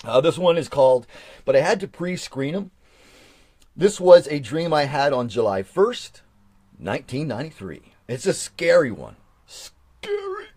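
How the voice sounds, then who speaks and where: A man's voice: on the high side, huge pitch swings, a little slow, a little mellow, no room sound. A man in his forties chatting casually on a live stream, reading from an old journal and rambling with frequent restarts. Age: 40s.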